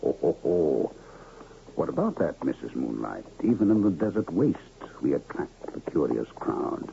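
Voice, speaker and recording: On a muffled, hissy old radio recording, a male travelling medicine man of middle years. His very dark, gravelly voice rolls through florid, showy phrases, genial and grand. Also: very deep voice, big pitch swings, moderate pace, full character voice. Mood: grandly genial. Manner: florid, theatrical, wordy showman with chuckles. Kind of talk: 1970s radio drama acting.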